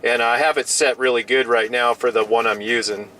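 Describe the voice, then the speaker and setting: Man, bright forward voice, casual radio chat, halting repeated starts, mid pitch. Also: steady tone, low-quality recording. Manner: repeats and restarts phrases often. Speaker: CB radio hobbyist.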